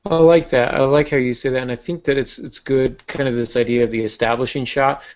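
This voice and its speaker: A man with a mid-pitched voice hosts a casual discussion in an analytical, teacherly way. He poses questions and answers them himself, over dull, lo-fi online audio. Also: fairly even intonation, fairly quick. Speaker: podcast host.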